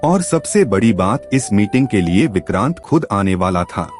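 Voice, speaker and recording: A man narrates a scripted story in a fairly low voice. His delivery is dramatic and very lively in pitch, swinging up and down as he reads. Soft music plays behind him. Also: moderate pace. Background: background music.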